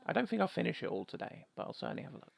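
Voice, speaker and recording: A man's voice: medium pitch, very animated, racing, gravelly, a little hiss or hum. A man doing a casual let's play, reading game story text aloud and commenting with wry, rambling asides.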